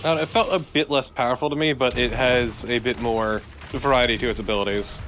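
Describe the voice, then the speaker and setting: A man's voice: medium pitch, steady tone, fairly quick, a bit bright, a little hiss or hum. A man co-commentating on a video game in a casual chatty style. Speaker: let's play co-commentator. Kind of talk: casual gameplay chat.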